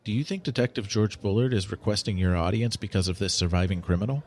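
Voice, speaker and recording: A low-voiced, clinically curious researcher. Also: male voice, fast talker, darkish tone. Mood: detached fascination. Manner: formal, precise, detached fascination. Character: clinical, curious non-human researcher studying humans. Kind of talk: audio drama dialogue.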